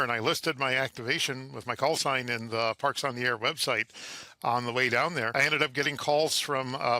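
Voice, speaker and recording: A man's voice: mid-range voice, bright, forceful tone, dry recording. A middle-aged man telling his experiences as an interview guest, hesitant and thinking aloud as he goes. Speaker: interview guest, amateur radio contester. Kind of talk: informal interview storytelling.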